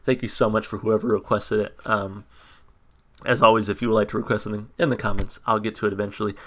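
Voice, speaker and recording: A man reviewing a song on his channel in a casual, monotone way, full of ums and restarts. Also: on the low side, quick pace, a little hiss or hum. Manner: hesitant, many ums and restarts. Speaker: music reaction YouTuber. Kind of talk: casual reaction commentary.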